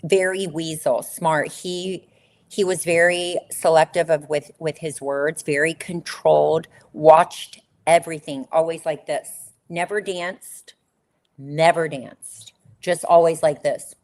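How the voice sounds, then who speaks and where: A woman's voice: deep voice, fairly even intonation, slow pace, darkish tone. A middle-aged woman, a former model, telling her story in an interview with emphatic repetition. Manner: emphatic, repeats phrases for stress. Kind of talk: candid conversational storytelling.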